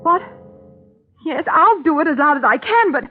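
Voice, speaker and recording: A woman playing a cool-headed, blunt young murder suspect in a 1940s radio thriller, brisk and direct, with an American accent. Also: sits high, lively intonation, soft background music. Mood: tense, secretive. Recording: muffled, band-limited 1940s radio recording.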